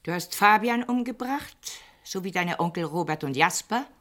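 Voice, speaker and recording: Low-voiced, imperious old aunt. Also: female voice, lively intonation, normal speed, a bit bright. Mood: coldly resolute. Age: older. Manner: formal, imperious, exasperated. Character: stern, indignant elderly wealthy aunt, easily flustered, accusing. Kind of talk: German radio play acting, around 1960.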